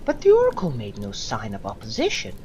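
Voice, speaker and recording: A woman playing a condemned old philosopher, defiant and serene, delivering a solemn, deliberate theatrical monologue to judges with long pauses. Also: a bass voice, huge pitch swings, heavily acted. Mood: calm, philosophical. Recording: amateur recording with loud background noise.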